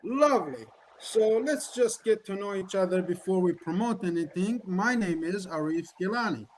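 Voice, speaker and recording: Middle-aged male presenter from the Middle East, not a native English speaker, higher voice, earnest and repetitive, on a video call. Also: lively intonation, moderate pace, a little hiss or hum, low-quality recording. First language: Kurdish or Persian. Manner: earnest, repetitive, rhetorical questions. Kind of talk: online presentation, motivational pitch.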